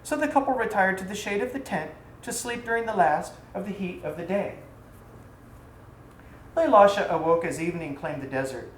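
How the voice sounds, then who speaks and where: A woman's voice: medium pitch, animated, moderate pace. Echoey recording of a woman reading a story. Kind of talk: dramatic literary story narration. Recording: echoey room, faint background noise.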